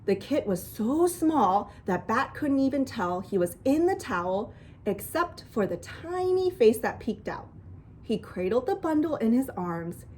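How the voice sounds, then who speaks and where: High-pitched, animated woman reading aloud. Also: a little slow, slight background noise. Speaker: storybook reader. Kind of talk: expressive children's book read-aloud.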